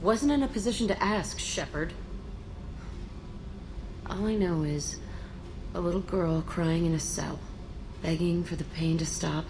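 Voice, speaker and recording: A woman's voice: fairly low pitch, unhurried, long pauses, noisy background. An actress playing a blunt, bitter, foul-mouthed young female ex-prisoner in a video game. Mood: bitter, guarded. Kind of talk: video game character dialogue.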